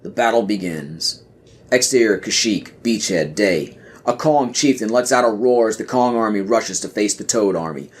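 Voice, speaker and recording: A man's voice: lowish voice, expressive, normal speed, slightly rough. A man reading stage directions as the narrator of a scripted audio play.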